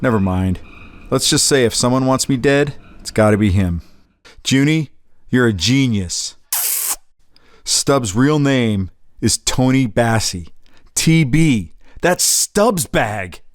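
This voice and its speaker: A man's voice: mid-range voice, animated, slow, long pauses, no room sound, clean studio sound. A man playing a roguish, easygoing bum with a wry, flirtatious manner in a noir-flavoured audio drama. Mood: wary.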